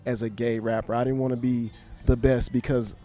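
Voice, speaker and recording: A young man hosting a podcast, answering in a thoughtful, measured, slightly hesitant way. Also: mid-range voice, flat delivery, warm tone. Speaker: podcast host. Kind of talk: conversational interview.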